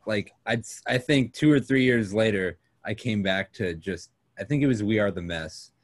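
A nineteen-year-old man co-hosting a casual podcast, chatty and quick to laugh. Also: fairly low pitch. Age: late teens. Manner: laughs often, chatty, agreeable. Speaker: podcast co-host. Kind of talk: casual chat.

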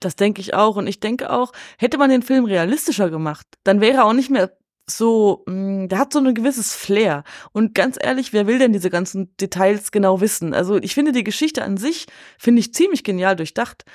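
A woman's voice: mid-range voice, lively intonation, moderate pace, darkish tone, no room sound, studio quality. A woman in a casual film discussion, speaking in a colloquial, blunt way as a podcast guest.

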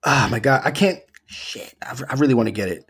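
A man's voice: mid-range voice, a little fast, a bit bright, breathy edge. A young man hosting a film podcast, hyped and jokey, with a lot of slang. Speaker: podcast host. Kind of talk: casual chat.

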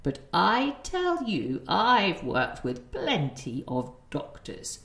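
A woman acting a proud, sharp-tongued elderly grandmother in an audio play, with haughty, sarcastic retorts. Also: mid-range voice, wildly swooping pitch, slow, roomy sound, slight background noise, full character voice. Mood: indignant.

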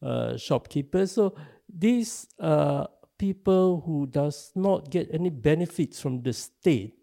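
An older man from Singapore with a Singaporean English accent, giving slow, halting answers in an interview, full of filler pauses. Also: a little high, animated, gravelly, dark tone. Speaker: interview guest, activist.

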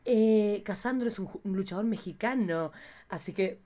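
A woman's voice: medium pitch, moderate pace, warm tone. An Argentine woman hosting a casual chat show in an irreverent, theatrical, slangy way. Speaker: podcast host.

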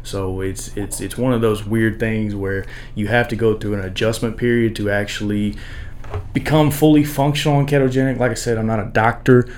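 A man with a deep baritone speaks in a loose, meandering way. He explains like a chatty podcast guest, backing off his own claims and circling around to his point. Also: little pitch movement, brisk, darkish tone, a little hiss or hum. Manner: rambling, hedging explainer. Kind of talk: casual chat.

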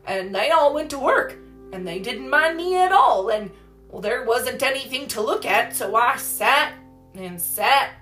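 A woman voicing a hungry, sturdy young boy in a storybook, indignant and plaintive, with drawn-out, halting delivery. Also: sits high, expressive, pushed and bright, faint music behind, voice pushed up, heavily acted. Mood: halting, earnest.

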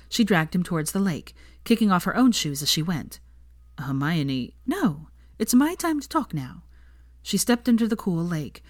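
A woman's voice: fairly low pitch, dry recording, studio quality. A woman reading a story aloud as narrator, in scripted audiobook style. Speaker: fan fiction narrator.

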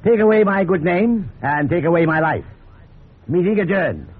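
An actor playing a pompous older company boss in a 1950s radio drama, speechifying about respectability. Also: male voice, a little high, sing-song, a little slow. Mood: solemn, pompous. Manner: pompous, oratorical. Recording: tinny 1950s radio recording.

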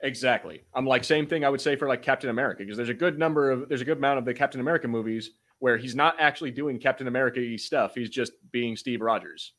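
A man with a mid-pitched voice chats as a podcast co-host. He is informal and a bit halting, loose with swearing, and sounds like he is talking off the cuff. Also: fairly bright tone. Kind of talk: casual chat, reads out viewer comments.

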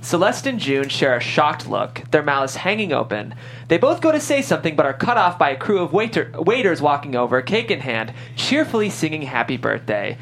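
A woman host with a very deep voice for a woman and lots of melodic movement. She narrates scripts and interviews guests, with faint noise behind. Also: very animated, fairly quick.

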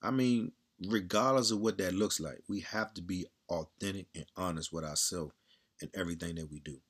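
Man with a strong, loud, very deep voice. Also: steady tone, normal speed. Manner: blunt, candid, swears freely, repeats himself. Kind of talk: casual monologue.

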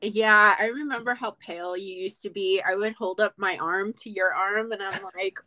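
A woman co-hosting a relaxed podcast chat with a voice of middling pitch. She talks in a playful, sarcastic way and breaks into laughter often. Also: moderate pace, a little hiss or hum. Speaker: podcast co-host. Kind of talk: casual chat.

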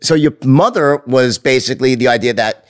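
A man hosting an interview, chatting loosely with quick asides and some swearing. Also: mid-range voice. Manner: loose, talkative, profanity and fast asides. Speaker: podcast host. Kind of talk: casual interview chat.

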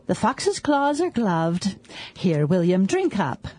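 An actress playing a scheming, vengeful mistress in a period radio drama, hiding it under silky composure. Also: female voice, medium pitch, very animated, takes it slowly, a little mellow, husky, no echo, full character voice. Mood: falsely sweet. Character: scheming, vengeful spurned mistress, falsely sweet.

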